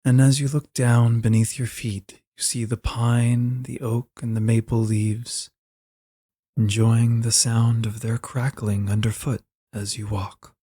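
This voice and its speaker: A man leads a guided relaxation at a very slow, unhurried pace. His tone stays soft and even, with little rise or fall, and has a dark, mellow color. The phrasing is gentle and soothing, like careful instructions for settling down. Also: mid-range voice, slightly rough, no room sound, studio quality. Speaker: guided meditation narrator.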